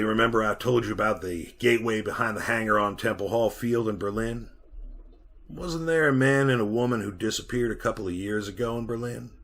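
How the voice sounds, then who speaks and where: An actor playing a sinister, haunted survivor who serves an underground power, telling his tale deliberately in a retro radio drama. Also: lowish voice, a bit bright. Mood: quietly menacing. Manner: ominous, deliberate storytelling.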